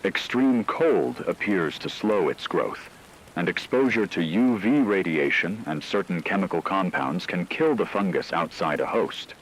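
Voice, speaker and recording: A man playing a grave radio news anchor who reads a scripted emergency report in a measured, formal way, over a hissy broadcast. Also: low voice, expressive, rough recording.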